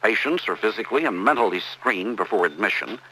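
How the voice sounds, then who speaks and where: Bright, animated low-voiced male documentary narrator. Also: brisk, slightly rough. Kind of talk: scripted narration. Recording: noisy old educational film soundtrack.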